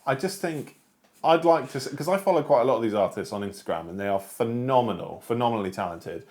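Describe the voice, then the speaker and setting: A man's voice: medium pitch, lively intonation, fast talker, darkish tone. A British man co-hosting a book discussion, chatting informally and using plenty of slang. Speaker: book discussion show co-host. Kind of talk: casual unscripted chat about books.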